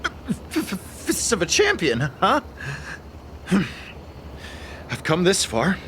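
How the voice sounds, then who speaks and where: A shifty male petty thief in a video game. His voice is high and sharp, swinging around as he stammers and blusters, slow and hesitant. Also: wildly swooping pitch, slight background noise, exaggerated voice. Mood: cornered, defiant.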